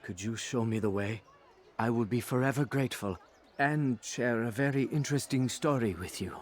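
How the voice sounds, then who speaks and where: Man playing a humble flattering clan informant. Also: medium pitch, normal speed, close to an everyday voice. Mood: eager, flattering. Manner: deferential, flattering. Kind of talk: video game voice acting.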